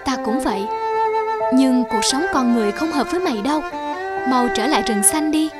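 High-voiced kind young man acted by a woman. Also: brisk, natural voice. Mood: tender concern. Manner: tender, caring. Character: kind, gentle young man of old Vietnam. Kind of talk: cartoon fairy-tale voice acting. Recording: music behind the voice.

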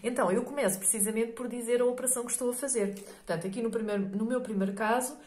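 A hoarse middle-aged woman with a European Portuguese accent, teaching a maths lesson patiently, checking in often with "ok?" and many fillers. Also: on the high side, steady tone, rapid-fire, darkish tone, echoey room. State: hoarse from a cold.

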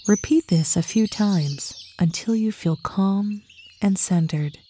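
A woman narrating a guided meditation in a calm, soothing, unhurried way, with a soft voice that turns breathy at phrase ends. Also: medium pitch, a little hiss or hum. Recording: birdsong and nature ambience behind. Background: birdsong, outdoor nature sounds.

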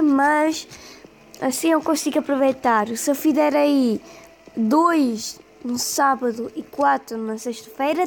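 A woman with a European Portuguese accent, hoarse today, rambling casually through a game playthrough. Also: high-pitched, a little mellow, a little hiss or hum. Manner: rambling, hesitant. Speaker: gaming youtuber. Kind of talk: casual let's play commentary. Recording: voice over game music.

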